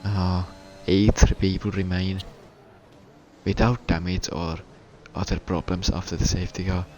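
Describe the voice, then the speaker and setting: Finnish guy calling a race, very low voice, stutters and restarts a lot, english not his first language. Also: little pitch movement, darkish tone, faint music behind. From Finland. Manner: halting, stuttering delivery with frequent restarts. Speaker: race commentator. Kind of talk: live sim racing commentary.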